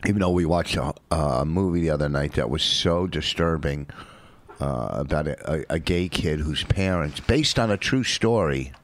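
A man's voice: rumbling low, normal speed. A man co-hosting a podcast, teasing and rambling in casual banter. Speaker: podcast co-host, comedian.

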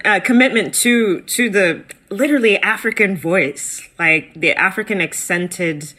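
Bright-voiced animated woman co-host. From United States. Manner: animated, pitch jumps when excited, many fillers. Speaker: guest co-host. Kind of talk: casual podcast conversation.

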